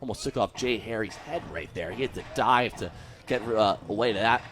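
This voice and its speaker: A man's voice: lowish voice, fairly quick, fairly bright tone. An American man working as a color commentator on a live baseball broadcast, giving emphatic, opinionated analysis.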